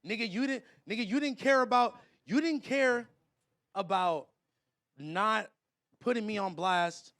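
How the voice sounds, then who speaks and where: A man's voice: high-pitched, a little slow, a bit bright, no room sound, clean studio sound. A man in a heated podcast argument, speaking confrontationally with a lot of profanity and repeating himself.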